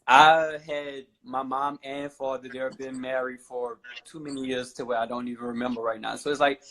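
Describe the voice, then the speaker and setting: A young man in his twenties speaks at an ordinary mid pitch, casual and unhurried. His talk wanders and leans on fillers like "you know" as he answers questions on a talk show. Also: rough recording. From New Orleans, USA. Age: 20s. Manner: relaxed, rambling, many "I feel like" and "you know". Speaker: guest, fashion model.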